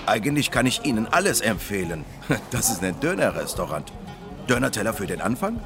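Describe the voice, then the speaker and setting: An actor playing a brisk, obliging and chatty kebab shop worker in a radio drama. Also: male voice, on the low side, sing-song, music in the background. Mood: cheery, curious. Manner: chatty, service-minded. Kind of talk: German radio drama acting.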